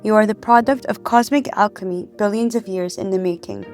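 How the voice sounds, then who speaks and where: A woman's voice: mid-range voice, a little fast, darkish tone, soft background music. A woman hosting a science podcast, eager and upbeat, calling herself a nerd and asking a guest questions. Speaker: podcast host. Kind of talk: enthusiastic scripted intro, then interview questions.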